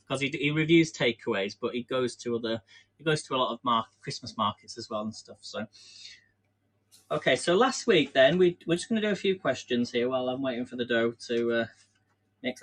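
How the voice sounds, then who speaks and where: A man's voice: medium pitch, fairly bright tone. An English man hosting a casual live stream from his home kitchen, chatting and running a quiz while he bakes, rambling and self-deprecating with frequent laughs. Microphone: camera on a stand.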